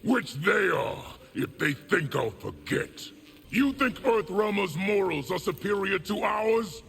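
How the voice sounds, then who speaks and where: A man playing a proud, militant war leader in a video game, forceful and defiant with a gravelly voice. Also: high voice, expressive, slow, full character voice. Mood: defiant, resentful. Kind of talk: dramatic video game acting.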